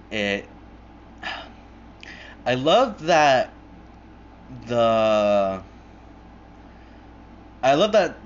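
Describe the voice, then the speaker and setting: A man's voice: lowish voice, lo-fi sound, a little hiss or hum. A young man hosting his own podcast alone, rambling casually with lots of fillers, restarts and swearing, recorded on an earbud mic. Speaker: podcast host. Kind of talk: casual solo podcast chat.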